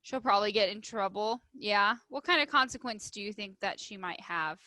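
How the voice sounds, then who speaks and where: A woman teacher reading a storybook aloud expressively to children, emphasizing words and pausing to instruct and put questions to them. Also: medium pitch, pushed and bright, no room sound. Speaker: elementary school teacher.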